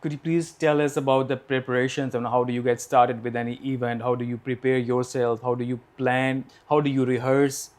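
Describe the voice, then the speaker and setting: A man hosting a podcast who asks polite, open questions, sometimes pausing to search for words. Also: mid-range voice, flat delivery, a little mellow. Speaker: podcast host, interviewer. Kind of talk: interview questions.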